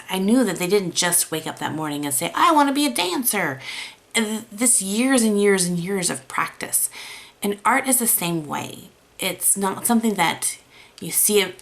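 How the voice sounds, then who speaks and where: A woman with a raspy voice gives a chatty, encouraging watercolor lesson. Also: lowish voice, fairly bright tone. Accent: American. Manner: encouraging, chatty, self-deprecating. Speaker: watercolor art teacher. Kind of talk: casual tutorial talk.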